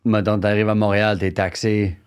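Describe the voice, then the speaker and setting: A man's voice: lowish voice, flat delivery, moderate pace, clean tone. A man with a Québécois accent hosting a casual interview, talking loosely and slipping English slang into his French. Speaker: podcast host.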